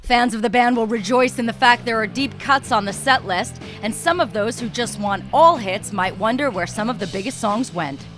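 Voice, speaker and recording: A woman reporter narrating an entertainment news segment in a smooth, upbeat scripted delivery. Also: fairly high pitch, moderate pace, hardly any pauses, a bit bright, faint music behind.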